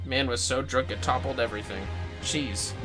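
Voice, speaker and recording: Low-key man chatting on a gaming livestream. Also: moderate pace, music in the background. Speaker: game livestreamer. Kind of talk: casual gaming livestream chat.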